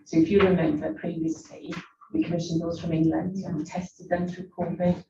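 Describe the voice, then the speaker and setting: A woman's voice: on the low side, flat delivery, fairly quick, creaky. A woman executive answering a board's questions in a formal meeting, leaning on "actually" and "very" as fillers. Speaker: executive presenting a report to the board. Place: meeting room. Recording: distant echoey muffled mic with room noise.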